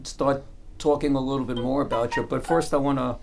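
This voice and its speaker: A man with a Bronx accent co-hosting a business talk show, teasing his guests with quick quips in a small studio. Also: medium pitch, steady tone, creaky. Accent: Bronx New York. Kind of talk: joking interview banter.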